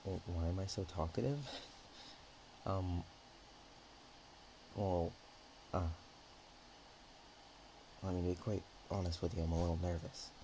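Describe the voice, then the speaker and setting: A man playing a naive, nervous, affectionate dragon in a roleplay ASMR, talking in a hesitant, rambling, halting way. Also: sits low, steady tone, mellow, slight background noise, rough recording. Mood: shy, nervous.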